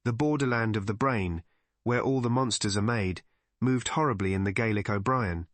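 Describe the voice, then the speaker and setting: A man's voice: fairly low pitch, moderate pace, no room sound. A man reads a literary detective story as a scripted audiobook narration.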